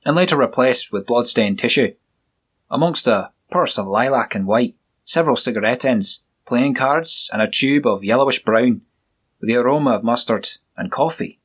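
A man voicing a big, jovial Scottish police sergeant in a one-man audio story, raised and booming above his own voice, with a husky edge. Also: expressive, normal speed. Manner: booming, jovial, probing questions. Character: burly Scottish police sergeant, hearty and shrewd.

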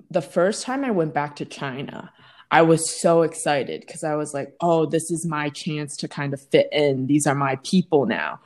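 Lively-voiced woman in reflective chat. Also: sits low. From United States. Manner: reflective, storytelling, asks questions. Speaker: conversation partner. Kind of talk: casual conversation.

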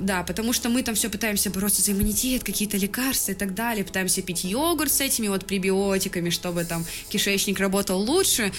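A woman's voice: mid-range voice, fast, hardly any pauses. A young woman chatting casually as a podcast co-host, laughing often and swearing freely.

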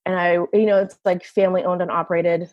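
A woman with a big, slightly low voice talks through an easygoing interview, full of "you know" and "like." The recording is dry and close, with no room sound. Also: flat delivery, quick pace, dry recording, muffled recording. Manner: big voice, chatty with many fillers. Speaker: enologist. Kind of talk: casual interview talk.

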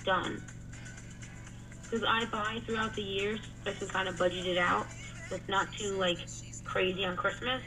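A woman's voice: mid-range voice, steady tone, moderate pace, pauses often, recorded from a distance, low-quality recording. Chatty female co-host, off-mic in a car, with music plainly audible behind. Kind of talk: casual podcast chat.

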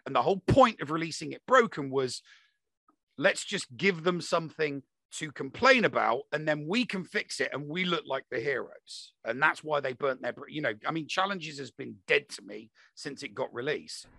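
Gravelly, bright-voiced man arguing his point. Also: a little high, expressive, normal speed, no echo. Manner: emphatic, insistent, repeats himself for emphasis. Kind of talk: opinionated casual discussion of a mobile game.

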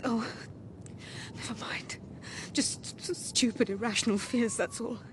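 An actress playing a distraught, guilt-ridden mother in a radio horror drama, with a halting, breathless and emotional delivery. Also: a little high, fairly even intonation, noisy background, natural voice. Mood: shaky.

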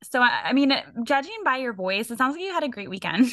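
A woman's voice: high-pitched, sing-song, a little fast, a bit bright, dry recording, studio quality. A young woman co-hosting a casual podcast chat, talking loosely with lots of fillers and laughs. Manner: chatty, lots of likes and laughs. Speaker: podcast co-host.

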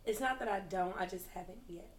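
A woman's voice: mid-range voice, fast talker. A woman co-hosting a podcast in casual, informal banter.

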